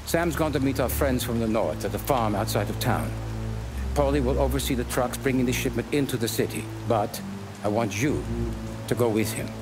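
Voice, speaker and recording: A middle-aged actor playing a measured mob advisor giving orders in a video game cutscene. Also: male voice, mid-range voice, over music, close to an everyday voice. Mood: businesslike. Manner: measured, instructive. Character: calm middle-aged mob consigliere, businesslike.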